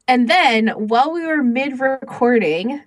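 A woman's voice: high-pitched, lively intonation, a little slow, no echo. A woman co-hosting a podcast in casual chat, talking informally and peppering her sentences with "like". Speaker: podcast co-host.

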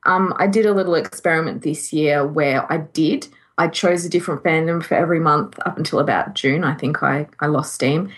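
Woman cohosting a podcast, voice a bit low, chatty, keeps restarting her sentences. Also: brisk, few pauses. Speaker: podcast co-host. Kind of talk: casual group chat.

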